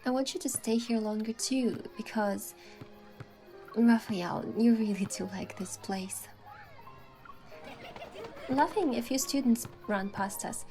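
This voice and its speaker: A woman reading scripted first-person story narration as the heroine, with a gentle, sentimental delivery. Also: fairly high pitch, takes it slowly, pauses often, faint music behind, rough recording.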